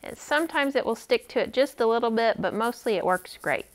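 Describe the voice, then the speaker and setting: A woman's voice: a little high, lively intonation, brisk. A woman in her thirties hosting a friendly step-by-step cooking demonstration for children, speaking in simple, encouraging instructions. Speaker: library cooking program host for kids.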